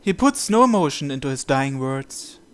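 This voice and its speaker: A man reading a visual novel's story text aloud as narration, in a measured, deliberate way. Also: medium pitch, big pitch swings, fairly bright tone, clean tone. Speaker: video game player reading the script aloud.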